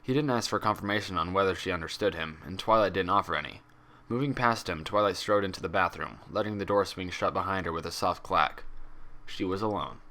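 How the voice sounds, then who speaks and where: Low, crisp-edged man narrating a story. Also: a little fast, bright, forceful tone. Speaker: fan fiction reader, narrator. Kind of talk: dramatic scripted story narration.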